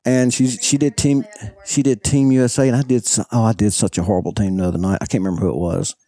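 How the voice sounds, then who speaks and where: A man's voice: fairly low pitch, steady tone, fast talker, mellow, no echo. A man chatting casually, rambling through a story with frequent laughs and restarts. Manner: rambling storyteller, laughs often, coaches accent jokes. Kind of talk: casual chat.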